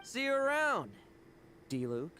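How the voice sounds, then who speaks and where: An actor playing a cocky, restless young monster hunter in a video game, with a flippant, teasing manner. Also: male voice, extremely high pitch, wildly swooping pitch, a little slow, bright, forceful tone, a little hiss or hum, exaggerated voice.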